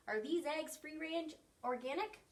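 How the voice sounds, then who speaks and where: A woman's voice: sits high, a little slow, background noise, pitched above the performer's own voice. Lady doing a grumpy loner bear from a kids book, stern and grumbly, bit far from mic. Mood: dry, put out. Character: grumpy solitary male bear, stern and exasperated.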